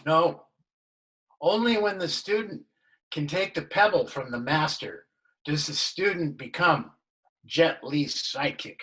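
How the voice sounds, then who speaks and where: A man's voice: on the high side, unhurried, a bit bright, slightly rough, low-quality recording. A mild voice playing a puzzled suburban dad. He is well-meaning and slightly lost, in a sitcom script read on a video call. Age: middle-aged.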